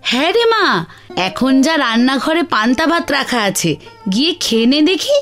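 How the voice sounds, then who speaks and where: A gentle village mother from a children's cartoon. Her voice is high and clear-edged, careworn yet tender and consoling. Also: big pitch swings, moderate pace, faint music behind.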